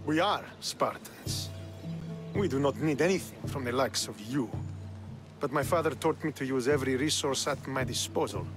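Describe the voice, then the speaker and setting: An actor playing a stern, suspicious Spartan military commander in a video game, with a commanding, distrustful manner. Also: male voice, mid-range voice, close to an everyday voice. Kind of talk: dramatic video game acting.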